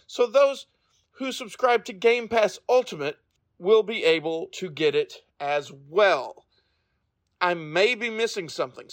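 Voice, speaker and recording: A man with a high voice hosts a podcast, reading a prepared review. The sound is dry, with very little room around it. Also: fairly bright tone, dry recording.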